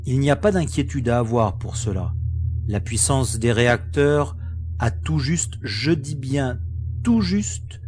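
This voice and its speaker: A man playing a frank, resolute starship pilot in a science fiction audio drama, explaining things in a measured way. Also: medium pitch, lively intonation, darkish tone, a little hiss or hum, natural voice. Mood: grave, explaining.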